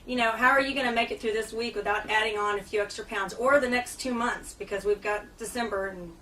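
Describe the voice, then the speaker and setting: A woman's voice: on the high side, steady tone, fast talker, pushed and bright, recorded from a distance, a little hiss or hum, poor audio. A woman fitness trainer speaking as a radio guest, earnest and chatty with many fillers, still getting over a lost voice. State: recovering from lost voice. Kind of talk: casual radio talk. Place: radio studio.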